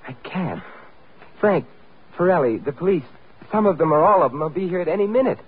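Suave radio sleuth, animated delivery. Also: male voice, sits high, fairly quick, breathy edge, narrow, tinny audio. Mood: urgent, pressing. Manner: insistent, probing, dryly witty. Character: suave, commanding male amateur sleuth, urgent and wry. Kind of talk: old-time radio drama acting. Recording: thin, noisy vintage radio broadcast recording.

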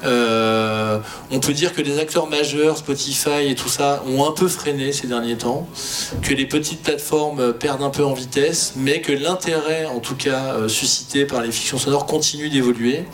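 A man talks as a guest director on a panel. His voice sits at an ordinary middle pitch, and he speaks informally and with keen emphasis about his craft. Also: steady tone, moderate pace, soft background music, rough recording.

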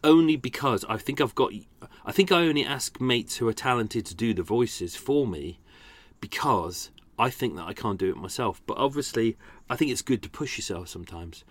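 A man's voice: mid-range voice, animated, moderate pace, dry recording. A man hosting a podcast, chatting casually and laughing at himself. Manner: self-deprecating, chatty, laughing often. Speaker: podcast host. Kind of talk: casual chat.

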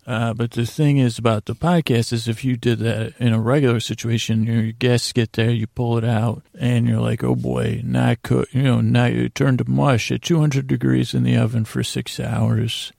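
A man hosting a bedtime podcast with a creaky, gravelly voice, rambling and halting through digressive monologue that often trails off. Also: mid-range voice, little pitch movement, a little mellow, dry recording, clean studio sound. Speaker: podcast host. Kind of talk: rambling bedtime monologue to lull listeners to sleep.

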